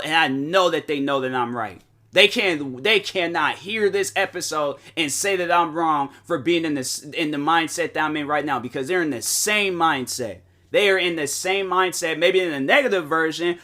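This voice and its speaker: Young male podcast host, bright forceful voice, rambling pep-talk delivery. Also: fairly high pitch. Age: 20s. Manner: rambling, motivational, heavy filler words. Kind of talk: casual solo podcast monologue.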